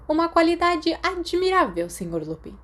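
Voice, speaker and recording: A woman's voice: very high voice, sing-song, a bit bright, pitched above the performer's own voice, full character voice. Casting: woman reader as middle-aged female professor of magic, stern yet kind, formal delivery, storybook. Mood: formal, approving. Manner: formal, measured praise.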